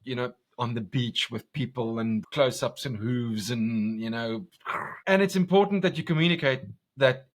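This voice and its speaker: A man from South Africa with an Afrikaans accent, a commercial director talking passionately in a podcast interview, loud and full of restarts. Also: mid-range voice, moderate pace. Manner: intense, passionate, talks loud, many restarts.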